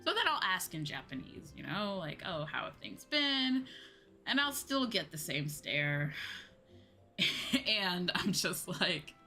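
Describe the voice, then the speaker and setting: Bright-voiced woman chatting on stream. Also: lowish voice, sing-song, slow pace, faint music behind. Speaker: VTuber streamer. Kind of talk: casual livestream chat over a game.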